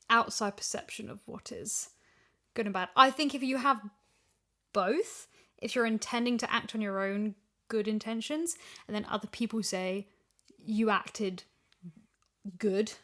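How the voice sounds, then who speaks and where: A woman's voice: on the high side, fairly even intonation, unhurried, pauses often, fairly bright tone. A woman in a casual podcast chat, talking emphatically and repeating phrases for stress as a voice actor discussing an audio drama.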